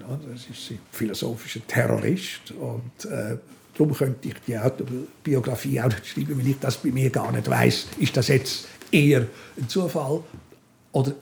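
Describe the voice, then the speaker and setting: A man's voice: medium pitch, creaky, a little mellow, slight background noise. An older man with a Swiss German accent, talking in a reflective, philosophical way in casual conversation as an interview guest. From Switzerland. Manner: philosophical, musing.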